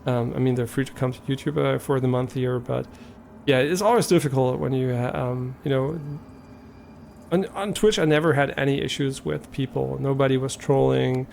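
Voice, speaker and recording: A man's voice: medium pitch, fairly even intonation, normal speed, vocal fry, darkish tone, slight background noise. A man from Germany, a native German speaker with a German accent, chatting casually and a bit hesitantly to viewers as a live streamer, thinking aloud as he goes.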